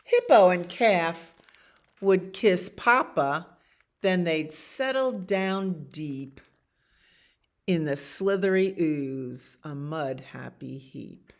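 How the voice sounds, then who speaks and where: A woman reading picture books aloud as a children's library storyteller, expressively, with casual asides about the pictures. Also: fairly low pitch. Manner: expressive reading with acted animal sounds and pauses to comment on pictures. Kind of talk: read-aloud bedtime stories with casual asides.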